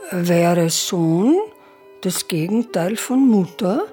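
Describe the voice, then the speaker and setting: An actress playing a confused elderly woman in a care home, musing slowly in a radio play. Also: fairly low pitch, expressive, mellow, soft background music. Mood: bewildered. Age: older. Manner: slow, musing, drifting into whispers.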